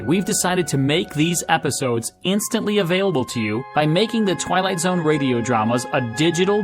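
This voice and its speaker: A man, a radio drama producer, reading a scripted promotional announcement to listeners. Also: a little high. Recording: music under the voice.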